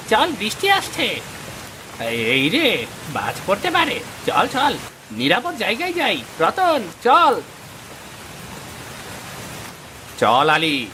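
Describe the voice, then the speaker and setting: A man voicing an anxious, excitable farmer in a cartoon moral story, exclaiming dramatically. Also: extremely high pitch, slow pace, exaggerated voice. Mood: alarmed. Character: anxious, excitable male farmer, a scolding friend. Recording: loud rain noise behind the voice. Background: rain.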